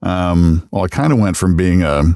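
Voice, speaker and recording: A middle-aged man, a radio voice talent, chatting casually in an interview, relaxed and self-deprecating, with many fillers. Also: deep voice, moderate pace, clean tone, no room sound, studio quality. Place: podcast studio.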